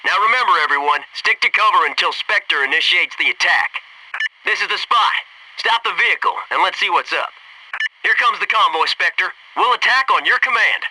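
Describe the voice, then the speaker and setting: A man's voice: sits high, expressive, normal speed, bright, forceful tone, far from the mic, slight background noise, exaggerated voice, low-quality recording. An actor playing a cocky, wisecracking male Navy SEAL squadmate in a military video game, talking in brash, punchy banter. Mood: focused. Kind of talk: scripted video game squad radio lines.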